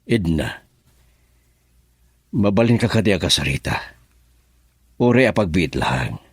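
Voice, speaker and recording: An actor playing an older man pressing an old flame to talk, gravelly and husky, with an Ilocano Filipino accent, in a radio drama. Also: deep voice, big pitch swings, a little slow, no room sound, full character voice. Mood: pleading.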